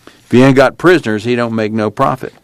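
A man's voice: mid-range voice, a little fast, clear, resonant tone, no echo, close to an everyday voice, poor audio. A man reading the part of a wary migrant farm worker who speaks in folksy rural talk. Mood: urgent. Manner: folksy rural speech, hushed and urgent. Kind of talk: reading a novel aloud.

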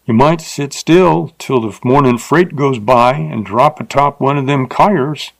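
A man voicing an uncouth, leering frontier rider with a rough drawl and bad grammar. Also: medium pitch, unhurried. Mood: gruff, mocking.

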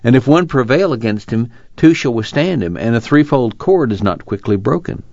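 A man's voice: mid-range voice, animated, moderate pace, vocal fry, a little mellow, no echo, highs cut off. A man playing an earnest young fugitive farm son in a dramatized novel reading, reflective and plainspoken, with a drawl.

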